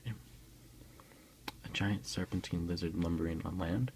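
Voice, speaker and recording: A man speaking in a soft, unhurried, hushed whisper close to the microphone, as an ASMR creator reading aloud and commenting for an unboxing. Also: deep voice, steady tone, vocal fry, noisy background.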